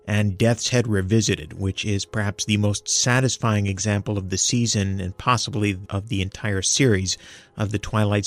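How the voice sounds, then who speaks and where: A man giving prepared, articulate commentary as a listener, measured and analytical in his delivery. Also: lowish voice, dry recording. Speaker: listener sending in a review.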